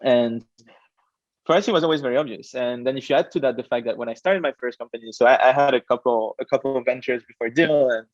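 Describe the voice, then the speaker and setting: A young man from France, a startup co-founder, answering interview questions quickly and animatedly, with frequent fillers. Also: mid-range voice, no room sound. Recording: dull, dry lo-fi audio.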